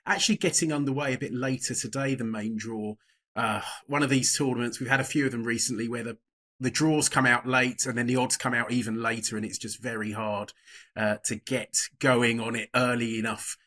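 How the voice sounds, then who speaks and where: A man hosting a tennis betting podcast, reading a brisk intro and then chatting in a relaxed, self-deprecating way. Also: mid-range voice. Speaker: podcast host.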